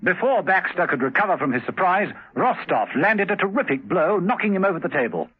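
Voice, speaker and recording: A man's voice: high voice, very animated, a little fast, slightly rough, full character voice. A man narrating a spy thriller dramatically in scripted radio drama, on a thin, phone-like old recording. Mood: dramatic, suspenseful.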